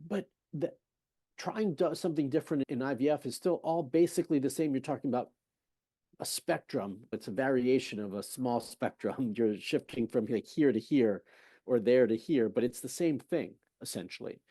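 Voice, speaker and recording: Emphatic man with a mellow voice. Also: medium pitch, normal speed, no room sound. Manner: emphatic, persuasive, rhetorical questions; conversational, retells patient exchanges. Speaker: fertility specialist guest. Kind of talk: podcast interview talk.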